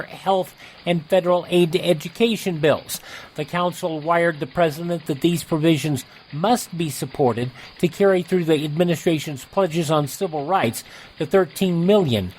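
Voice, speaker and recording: A man's voice: on the high side, a little hiss or hum, poor audio. Guy hosting a radio show, reading out scripted headlines in announcer style. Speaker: radio host and news reader.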